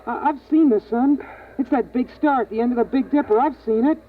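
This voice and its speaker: A man's voice: very high voice, brisk, a little hiss or hum. A young male actor playing an earnest, wondering boy in a 1950s radio drama, with plain, homespun phrasing. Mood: insistent. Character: earnest, wondering young boy narrating a bleak frozen world. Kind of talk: 1950s radio drama acting and first-person narration. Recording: tinny vintage radio recording with music.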